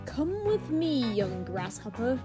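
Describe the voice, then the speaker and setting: An actress playing a crude, cocky love mentor in an audio drama, with slangy, mock-wise teasing. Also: female voice, fairly high pitch, sing-song, slow, over music. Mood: smug.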